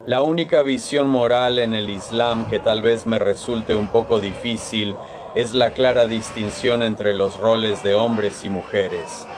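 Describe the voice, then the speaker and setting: A man with a lowish voice poses careful, thoughtful questions in a relaxed chat. Traffic noise is clearly audible behind him. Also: measured pace. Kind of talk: casual chat.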